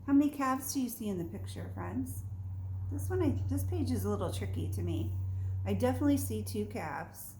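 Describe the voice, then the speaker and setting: A woman with a soft-edged, mellow voice teaches young children through a read-aloud. She explains things in simple words and often checks in with questions. The room adds noticeable echo, with faint noise behind her. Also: mid-range voice, expressive, a little fast.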